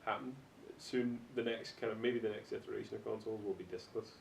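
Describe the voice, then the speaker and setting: A man's voice: fairly even intonation, fast talker, vocal fry. A man chatting casually about things as a podcast panelist. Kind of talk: casual group discussion of video games. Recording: echoey, distant mic with background rumble.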